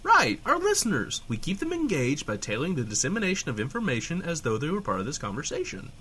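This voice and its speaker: A man playing a glib, excitable small-town radio host in scripted comedy banter. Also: on the high side, quick pace, slight background noise, exaggerated voice. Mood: explaining, flippant.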